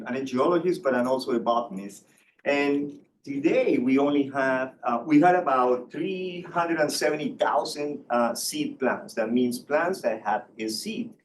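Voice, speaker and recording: A man's voice: mid-range voice, reverberant, recorded from a distance, a little hiss or hum, poor audio. A man from Colombia giving a university seminar lecture, hesitant with many fillers and restarts. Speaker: guest lecturer. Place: lecture room.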